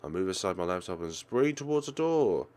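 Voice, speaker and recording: A man hosting a let's play, reading visual novel narration aloud in an expressive way. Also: low-pitched, fairly quick.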